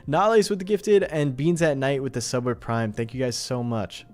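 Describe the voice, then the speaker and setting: Clear-voiced man chatting casually on livestream. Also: medium pitch, moderate pace, darkish tone, soft background music. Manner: informal, slangy, appreciative of viewers. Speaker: live streamer and host. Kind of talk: casual livestream chat with viewers.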